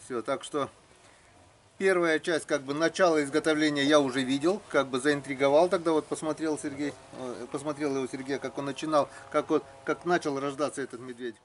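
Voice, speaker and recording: A man with a slightly high voice hosts a casual outdoor vlog. He fires off short, enthusiastic questions and echoes the answers. Faint background noise sits behind his voice. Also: fairly even intonation, a little fast. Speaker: vlog host visiting a craftsman. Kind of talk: casual chat. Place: outdoors at a village garden plot.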